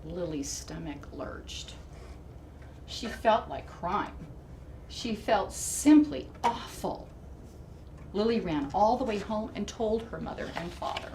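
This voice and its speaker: A woman reading a children's picture book aloud expressively, with dramatic emphasis and hushed asides to her listeners. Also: medium pitch. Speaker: storybook reader. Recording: distant mic, faint background noise.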